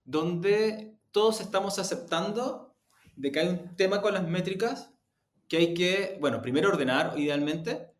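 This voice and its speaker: Echoey, higher-pitched Chilean man explaining. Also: a little slow. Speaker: interview guest, audio production executive. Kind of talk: interview answers, explanatory. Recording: roomy, echoey sound.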